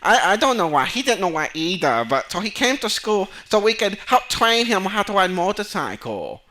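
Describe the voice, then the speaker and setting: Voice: mid-range voice, moderate pace. A performer playing an exasperated male motorcycle instructor who speaks broken English, in a comedy sketch interview. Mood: earnest. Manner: broken English with dropped articles, excitable.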